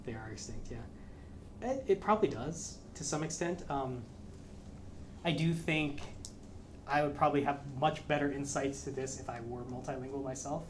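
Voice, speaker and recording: A man in his thirties answering audience questions, thinking aloud with frequent restarts. His voice sits at an ordinary middle pitch. The lecture-room recording carries a clear layer of noise. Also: moderate pace. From American Midwest. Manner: thoughtful, hesitant, many restarts. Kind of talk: academic Q&A talk.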